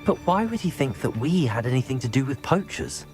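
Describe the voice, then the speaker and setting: Man acting an animated, earnest young wizard. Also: mid-range voice, brisk, soft background music, lightly acted. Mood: curious. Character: earnest, curious young male wizard adventurer. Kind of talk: video game cinematic acting.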